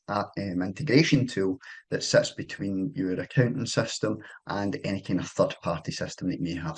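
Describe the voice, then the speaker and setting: A man's voice: low-pitched, darkish tone, breathy edge. A man with a Scottish accent, an account manager giving a webinar presentation in a loose, conversational way with many fillers and restarts. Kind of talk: casual presentation.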